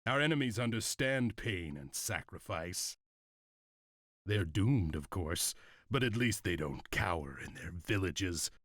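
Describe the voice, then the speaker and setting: Husky, ruthless clan usurper. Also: male voice, deep voice, lively intonation, unhurried, dry recording, clean studio sound, full character voice. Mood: sneering. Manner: forceful, scornful, commanding. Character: ambitious, scornful male clan usurper, impatient and ruthless. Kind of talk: video game cutscene acting.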